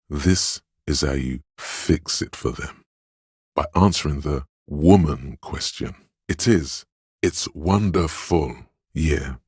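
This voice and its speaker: A man voicing the empathetic inner voice of a troubled detective in a video game, narrating in a measured, intimate, knowing way with a husky tone. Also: rumbling low, a little mellow, no echo, clean studio sound, full character voice. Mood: urging, assured. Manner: measured, intimate, weighty delivery.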